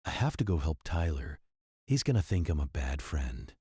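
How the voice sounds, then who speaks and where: A man's voice: rumbling low, sing-song, normal speed. A man acting a distraught, guilt-ridden young friend in an amateur audio drama. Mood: guilty, despairing. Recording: noisy home recording with clicks.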